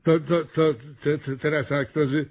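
An actor playing an exasperated, bossy small-town mayor in a radio comedy, stammering and exclaiming with absurd earnestness. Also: male voice, fairly high pitch, little pitch movement, normal speed, phone-quality sound. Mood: puzzled, insistent. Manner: stammering repetitions, bureaucratic, exclamatory. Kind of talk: radio comedy play acting.

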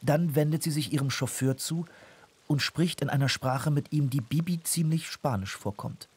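A man's voice: fairly high pitch, normal speed, darkish tone, breathy edge. A man narrating a scripted children's audio story.

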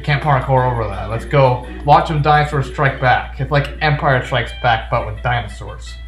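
A man's voice: medium pitch, moderate pace, a bit bright. A man giving casual, jokey gameplay commentary, often talking back to the game's characters. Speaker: gaming video host. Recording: roomy echo with game music behind. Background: video game music.

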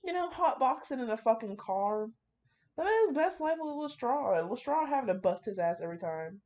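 A woman's voice: fairly high pitch, animated, moderate pace, darkish tone. A woman rambling casually with dramatic asides, brash, slangy and full of swearing as she comments on fan fiction. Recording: dull lo-fi microphone.

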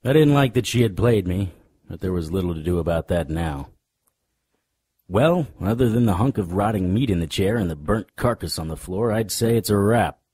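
A man playing a cocky, world-weary paranormal investigator, narrating a noir radio drama in a wry, deadpan way. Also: low-pitched, normal speed, slightly rough, dry recording. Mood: wry, cool.

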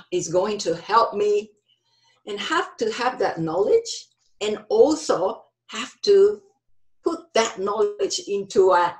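A middle-aged woman with a Japanese accent presents a workshop over a video call. She speaks slowly and carefully in a mid-range voice, pausing with ums and restarting phrases as she goes. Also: medium pitch, rough recording. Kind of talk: online workshop talk with slides.

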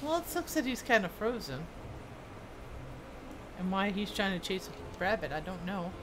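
A woman's voice: mid-range voice, big pitch swings, normal speed. A woman game streamer chatting casually and rambling to herself as she plays, sometimes reading quest text aloud. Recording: clear background game noise. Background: video game sounds.

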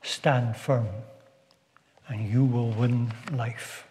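Slow-preaching minister in a reverberant church. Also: male voice, medium pitch, fairly even intonation, darkish tone. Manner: slow, measured preaching with deliberate pauses. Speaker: visiting minister. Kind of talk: sermon. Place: stone church.

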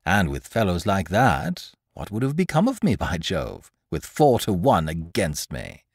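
A suave gentleman thief with a mocking, patronizing swagger, as a male narrator puts him on. His lines swing widely in pitch and come across loud and bold. The sound is close and dry. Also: huge pitch swings, a little slow, husky, no room sound, heavily acted. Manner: jaunty, patronizing, theatrical.

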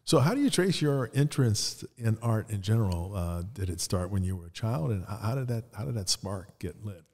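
A man's voice: on the low side, animated, a little fast, a little mellow. An older man hosting a conversational interview as a podcast host. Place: indoor art gallery. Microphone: close podcast mic on boom arm, headphones. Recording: reverberant gallery room.

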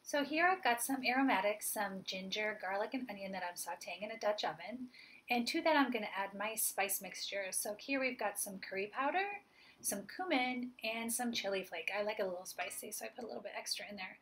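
A woman's voice: mid-range voice, fairly quick, fairly bright tone. A woman in her forties, a chef, giving a friendly, upbeat cooking demonstration and explaining each step plainly. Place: home kitchen, indoors.

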